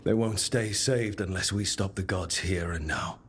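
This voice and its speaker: A compassionate, steady leader from a fantasy game. He speaks in a fairly low voice, sincere and understated. Faint ambient noise runs behind him. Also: moderate pace. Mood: resolute. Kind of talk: dramatic video game acting.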